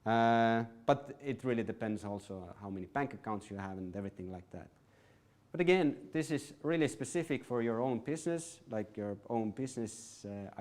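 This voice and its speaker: A man's voice: lowish voice, darkish tone. A man giving an informal presentation at a business event, talking casually with many fillers and self-corrections. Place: event venue, live audience.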